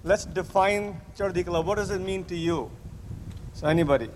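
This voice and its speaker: A man's voice: high voice, moderate pace. A man who is a physician giving an interactive lecture to a camp audience, hesitant, with many restarts, repeating the answers he hears. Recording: roomy hall with long reverb, faint noise.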